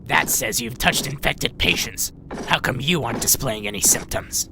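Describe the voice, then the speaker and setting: A man voicing a gruff, skeptical veteran robot doctor with a gravelly voice in an audio drama. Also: mid-range voice, animated, moderate pace, background noise. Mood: probing, grave.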